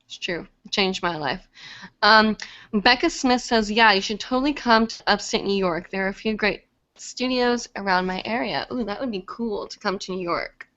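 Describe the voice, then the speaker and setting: A woman's voice: mid-range voice, normal speed, pushed and bright, highs cut off. A young woman hosting a live stream, chatty and full of fillers, with a slightly congested voice from a cold. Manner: chatty, many fillers, laughs easily. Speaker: live show host. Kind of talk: casual live-stream chat reading comments.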